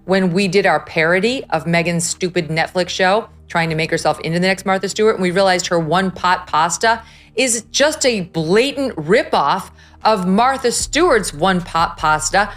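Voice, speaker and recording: A woman talk show host giving brisk, scornful commentary. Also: lowish voice, a bit bright. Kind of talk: opinionated commentary.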